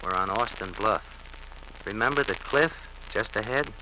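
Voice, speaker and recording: A man's voice: lowish voice, normal speed, slight background noise. An actor playing a bitter, murderous husband in an old radio thriller, menacing and sardonic as he confesses his crime. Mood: cold taunting. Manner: menacing, sardonic, taunting with pet names. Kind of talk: 1940s radio thriller acting and first-person narration. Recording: muffled old radio recording with loud music.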